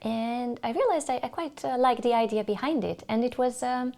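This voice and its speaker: A Hungarian woman speaking English as a podcast guest, telling stories thoughtfully with many pauses and restarts. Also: on the high side, a little mellow. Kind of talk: casual interview chat.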